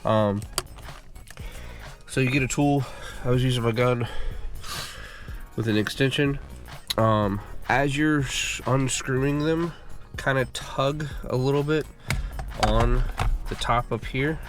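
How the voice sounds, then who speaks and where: A congested man hosting a car repair channel, giving a relaxed, casual how-to walkthrough full of fillers while working on a car. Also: medium pitch, slow, pauses often, faint music behind. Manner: relaxed, filler-heavy explaining.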